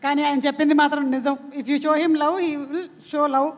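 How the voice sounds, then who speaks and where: A woman's voice: sits high, fairly even intonation, normal speed. A woman hosting a film event on stage in a playful, prompting manner. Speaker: event host. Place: large event venue, amplified stage. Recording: hall PA with long reverb.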